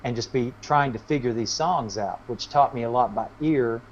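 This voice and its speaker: A man with a medium-high voice talks casually as a musician being interviewed, explaining himself in a loose, rambling way. The recording is muffled and lo-fi, with faint noise in it. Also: normal speed.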